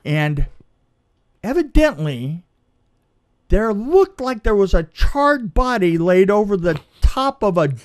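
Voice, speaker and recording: A middle-aged man telling a casual anecdote in an emphatic, halting way, repeating phrases and checking in with the listener. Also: high-pitched, sing-song. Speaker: podcast guest, film crew veteran.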